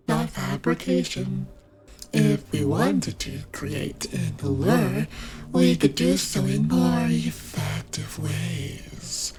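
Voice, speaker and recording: Woman voicing a slow, menacing, haughty dark lord spirit. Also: on the high side, exaggerated voice. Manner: archaic, imperious, slow and deliberate speech. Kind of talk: scripted horror fantasy ASMR roleplay.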